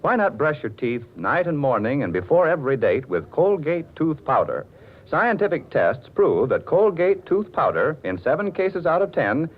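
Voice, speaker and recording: A man with an American accent reading 1940s radio commercials in a polished, emphatic salesman's delivery. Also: a little high. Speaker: radio advertising announcer. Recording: tinny 1940s radio, faint music.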